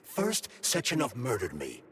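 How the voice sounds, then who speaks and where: A man's voice: medium pitch, huge pitch swings, long reverb tail, a crowd in the background. A man playing a sentient glove that holds a dead scientist's mind, formal and coolly vengeful, in a video game cutscene. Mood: cold, candid. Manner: articulate, formal, measured explaining.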